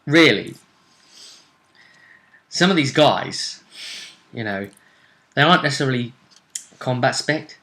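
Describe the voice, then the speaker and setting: Bright-voiced man, chatty wry host. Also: mid-range voice, animated, slow pace, pauses often. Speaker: host of a tabletop role-play series. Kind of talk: casual chat and tournament recap.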